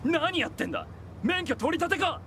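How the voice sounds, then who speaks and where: An actor playing a cocky young male demon hunter in a game cutscene, talking in a rough, slangy, taunting way. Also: very high voice, very animated, normal speed, very noisy, heavily acted. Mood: irritated. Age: young adult. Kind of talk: Japanese video game cutscene acting. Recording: music behind the dialogue.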